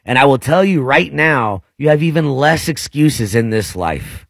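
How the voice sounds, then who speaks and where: A man in his forties from Indianapolis, hosting a casual radio talk show in an emphatic, animated way. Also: mid-range voice, normal speed, creaky, dry recording, studio quality. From Indianapolis, United States. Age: 40s. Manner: animated, emphatic, says dude a lot. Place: radio studio.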